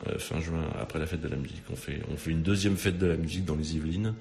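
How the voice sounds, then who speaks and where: A man who plays in a rock band, talking as a radio guest in a deep, gravelly voice. He hesitates often and repeats his words while he works out what to say. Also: fast, a little mellow. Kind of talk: casual radio interview.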